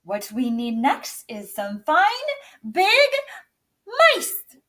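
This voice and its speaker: Lady doing a kind little storybook fairy godmother, playful and theatrical, voice swoops high. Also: huge pitch swings, drawn-out words, a bit bright, recorded from a distance, heavily acted. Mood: magical, excited. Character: kindly, brisk little fairy godmother casting spells.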